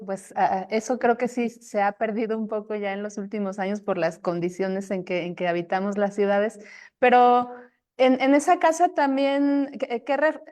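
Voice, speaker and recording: A woman with a fairly high voice works as an interviewer. She puts her questions informally and conversationally, circling and restarting as she goes. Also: a little mellow, clear, resonant tone. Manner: informal, halting, rambling questions.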